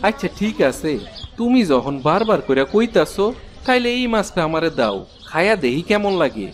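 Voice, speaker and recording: A man's voice: high voice, very animated, normal speed. A man voicing a demanding village farmer in a cartoon, with a rural eastern Bengali accent. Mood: agreeable. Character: demanding village farmer, grown son and husband. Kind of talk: cartoon character acting.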